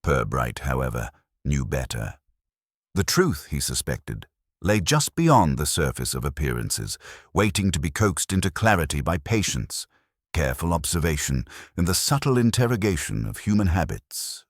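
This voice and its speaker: A man narrating a scripted mystery story in a measured, formal manner, as in an audiobook. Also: a bass voice, sing-song, dry recording, clean studio sound.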